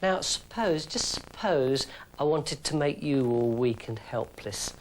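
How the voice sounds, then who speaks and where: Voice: mid-range voice, expressive, unhurried, a little hiss or hum, pitched below the performer's own voice, close to an everyday voice, rough recording. A storyteller voicing a vain, scheming young woman who talks in a coy, sugary, playful way.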